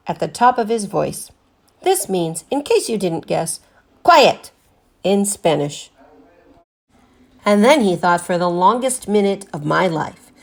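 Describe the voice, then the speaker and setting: A woman teacher reading a picture book aloud to children in an expressive, deliberately emphasized storytelling style. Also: fairly low pitch, creaky.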